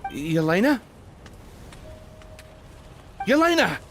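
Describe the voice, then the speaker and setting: An actor playing a grief-stricken, remorseful man in a video game, speaking in a halting, pleading way. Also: high-pitched, sing-song, a bit bright, a little hiss or hum, full character voice. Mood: anguished remorse. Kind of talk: dramatic video game voice acting.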